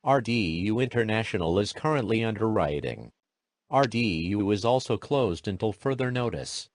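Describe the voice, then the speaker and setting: A man's voice: fairly low pitch, no room sound, clean studio sound. A man reading scripted emergency alerts as an announcer, in a formal, impersonal, robotic way.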